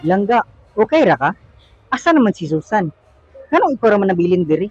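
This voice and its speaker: High-voiced man playing a charming Filipino dad. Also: sing-song, brisk, slightly rough, no echo, faint music behind, exaggerated voice. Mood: charming, attentive. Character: friendly, charming father of a student, middle-aged man. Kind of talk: amateur radio drama acting. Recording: muffled lo-fi recording.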